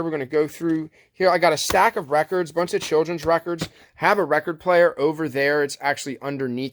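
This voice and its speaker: Rambling, laid-back man showing thrift finds. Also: on the high side. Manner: rambling, trailing off and correcting himself; laid-back slangy talk. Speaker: thrift reseller showing a haul. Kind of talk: casual unscripted show-and-tell.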